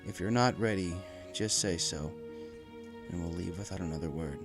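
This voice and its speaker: A man voicing a gentle, regretful father pony in a story, speaking softly, earnestly and haltingly. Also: low voice, pitched below the performer's own voice. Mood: remorseful. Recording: music playing behind the voice.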